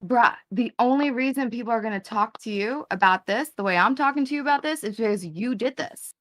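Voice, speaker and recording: A woman's voice: on the high side, fairly quick, fairly bright tone. A woman in her thirties arguing hard in an online debate, exasperated and swearing, talking over the other side. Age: 30s. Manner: exasperated, confrontational, swears, talks over him. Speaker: debate guest.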